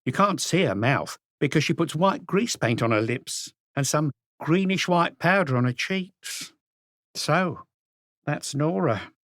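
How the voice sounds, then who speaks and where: A man's voice: fairly high pitch, animated, unhurried, fairly bright tone, no room sound, clean studio sound, pitched above the performer's own voice. A man voicing a plump, gossipy middle-aged woman who runs a café, in an audiobook reading. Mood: gossiping, scornful. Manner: chattering, scornful, indignant.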